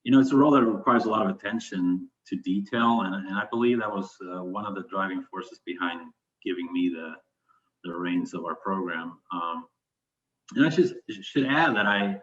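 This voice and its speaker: A man's voice: lowish voice, a bit bright. A man from Sweden answering interview questions conversationally, thinking aloud with some hesitation. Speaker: interview guest, business continuity manager. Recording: dull, lo-fi sound.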